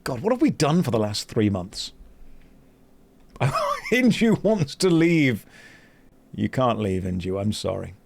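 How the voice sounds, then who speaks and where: A man's voice: mid-range voice, very animated, a little slow, vocal fry, pauses often, darkish tone. A man chatting casually with his live-stream audience while playing a game, joking at his own expense and reading messages aloud. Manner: self-mocking, joking, reads chat and quest text aloud.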